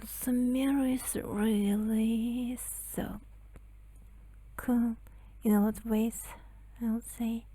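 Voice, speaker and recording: Soft, very slow, halting woman gamer. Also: fairly high pitch, flat delivery, breathy edge. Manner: halting, hesitant. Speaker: gaming content creator. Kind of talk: casual gameplay commentary. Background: game music.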